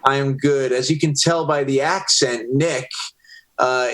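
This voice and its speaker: A man hosts with hyped-up, enthusiastic energy over a video call. His voice sits at an average middle pitch. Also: fairly even intonation, a little slow, fairly bright tone. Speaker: podcast host. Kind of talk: upbeat interview hosting.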